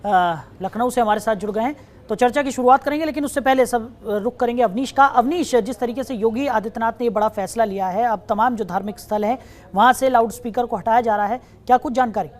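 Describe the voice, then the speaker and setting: A woman news anchor reading a scripted report and introducing guests in a brisk, formal manner. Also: a little high, darkish tone. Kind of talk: scripted news narration and hosting.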